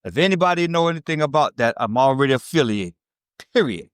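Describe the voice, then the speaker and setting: A man's voice: medium pitch, expressive, fast, clean tone, no room sound, clean studio sound. A man in his fifties from Chicago's South Side, with a Chicago accent, giving a blunt, profane recovery testimony to a meeting, often checking in with "right?". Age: 50s. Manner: blunt, streetwise and profane, with frequent "right?" asides. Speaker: recovery speaker.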